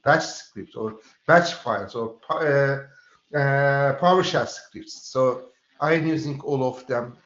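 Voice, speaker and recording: A man whose first language is Turkish talks through his work at an even middle pitch, pausing often. His voice comes over a video call and sounds roomy and dull, like cheap call audio. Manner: hesitant, many filler pauses and restarts. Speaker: freelance developer and consultant. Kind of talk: webinar panel introduction.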